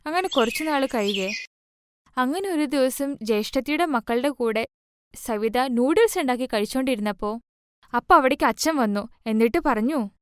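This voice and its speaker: High-pitched woman storyteller. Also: animated, moderate pace, clean tone, dry recording, clean studio sound. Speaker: narrator. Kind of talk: children's moral story narration.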